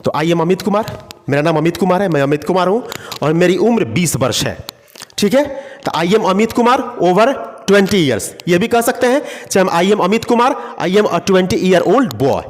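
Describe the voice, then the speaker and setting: Man, English teacher, slow word-by-word drilling, reverberant hall. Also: high-pitched, expressive, slight background noise. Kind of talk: classroom lesson.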